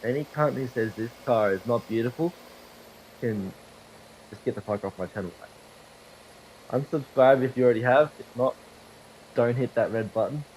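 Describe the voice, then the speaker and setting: A young man with a cold and a hoarse, fading voice, rambling profanely and mocking himself while commentating a driving game. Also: mid-range voice, normal speed, long pauses, a little mellow, a little hiss or hum, rough recording. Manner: profane, rambling, self-deprecating. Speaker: gaming video creator. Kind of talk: casual gameplay commentary. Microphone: desktop microphone.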